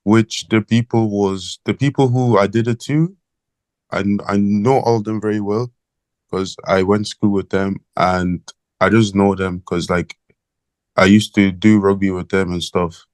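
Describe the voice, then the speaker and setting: A teenage man from Ireland with an Irish accent, calling in as an interview guest over the phone and talking in a hesitant, halting way with many fillers. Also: fairly low pitch, steady tone, darkish tone, no echo. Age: teens. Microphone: phone call.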